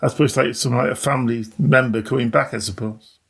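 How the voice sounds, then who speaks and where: A man's voice: mid-range voice, steady tone, fast talker, vocal fry, fairly bright tone, breathy edge. A middle-aged or older man, a teacher, giving casual, reflective interview answers in a hesitant, self-effacing way. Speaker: maths teacher.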